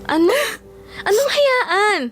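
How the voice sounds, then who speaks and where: A woman's voice: extremely high pitch, lively intonation, takes it slowly, heavily acted. A woman playing an emotional, flustered young office worker with a Filipino accent in an audio drama. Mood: concerned, insistent. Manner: pleading, emotional, indignant.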